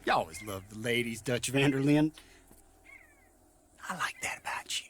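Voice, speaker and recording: An actor playing a sly rival gang boss in a game, menacing and mocking, with a drawn-out, needling delivery. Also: male voice, mid-range voice, animated, a bit bright, lightly acted. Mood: quietly menacing. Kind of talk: dramatic video game acting.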